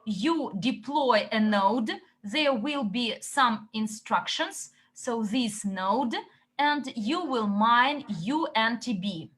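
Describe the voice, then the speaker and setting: A woman interpreting a company update into English, relaying questions and answers in short, halting chunks. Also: on the high side, lively intonation, a bit bright.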